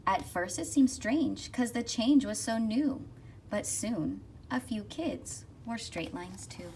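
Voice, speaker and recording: A woman reading a rhyming children's picture book aloud expressively, with rhythmic, deliberate phrasing. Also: mid-range voice, animated, darkish tone. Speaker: school counselor reading aloud. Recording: distant mic with faint noise.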